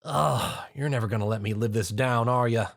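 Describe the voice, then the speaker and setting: A man's voice: mid-range voice, moderate pace, vocal fry, no echo, studio quality, close to an everyday voice. A man voicing a shy, folksy, awkward female farm pony in a story reading. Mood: embarrassed. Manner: shy, stammering.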